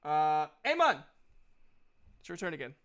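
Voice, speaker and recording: A man with a clean, resonant voice pitched a little above average runs a tabletop roleplaying game. He talks casually and conversationally as game master, describing what happens and handing out turns. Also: sing-song, a little slow, fairly bright tone, clean tone.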